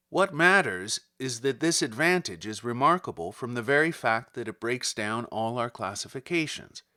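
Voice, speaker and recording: A man reads a literary first-person monologue as an audiobook narrator. His voice sits at a middle pitch. He phrases things deliberately and rhetorically, leaning on key words with formal diction. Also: sharp tone. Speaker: audiobook reader.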